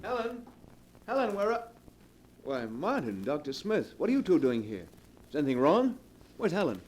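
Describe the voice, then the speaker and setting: An actor playing an anxious, devoted husband in a 1940s radio drama, urgent and pleading, on a vintage recording. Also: male voice, fairly high pitch, sing-song, moderate pace, background noise. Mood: alarmed.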